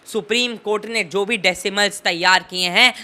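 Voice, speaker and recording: A man's voice: high-pitched, fairly even intonation, moderate pace, sharp tone. A teenage young man giving impassioned political commentary in an interview, emphatic and oratorical, leaning on rhetorical repetition.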